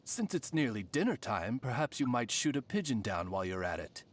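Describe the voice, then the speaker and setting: An actor voicing a courtly talking raven, a loyal servant who speaks formally with deadpan, gently teasing wit in a video game. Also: medium pitch, a little fast. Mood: deferential, wry. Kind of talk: English video game character voice lines.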